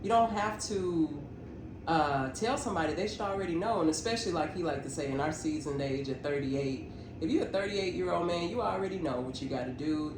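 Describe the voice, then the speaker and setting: A woman, earnestly explaining her view in casual podcast talk. The voice rings in an echoey room, with clear noise behind it. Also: low voice. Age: 30s. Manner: earnest, reasoning through her point. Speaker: podcast co-host.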